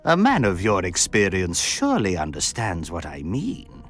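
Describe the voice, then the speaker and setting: A man's voice: deep voice, wildly swooping pitch, normal speed. Flamboyant, swooping nobleman. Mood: lecherous, amused. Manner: theatrical, florid, pompous phrasing. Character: flamboyant, verbose, refined nobleman count, lecherous and sardonic. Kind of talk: video game cutscene voice acting. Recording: music behind the voice.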